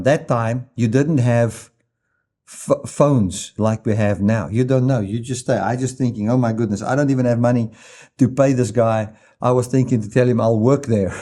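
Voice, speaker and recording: A man's voice: medium pitch, mellow. A middle-aged man with a South African accent, preaching informally in a reflective, conversational way with rhetorical questions and personal stories. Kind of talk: informal sermon with personal anecdotes.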